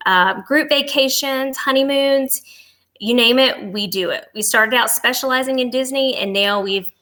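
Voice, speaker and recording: A woman's voice: high voice, normal speed, pushed and bright. A woman speaking as a podcast guest and travel agent, giving agreeable, informal answers with a promotional bent.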